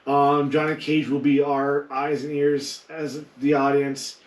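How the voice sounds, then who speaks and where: A man's voice: fairly high pitch, monotone, unhurried. A man chatting casually about films as a panelist on a podcast. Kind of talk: casual film discussion. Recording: roomy echo, distant mic.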